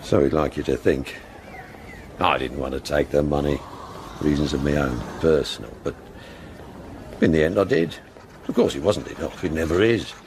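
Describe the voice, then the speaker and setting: Older man, very deep pitch, club owner, rueful, wry fragments, radio drama, faint noise behind. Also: lively intonation, moderate pace, long pauses, a little mellow. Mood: regretful, resigned. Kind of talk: naturalistic radio drama acting.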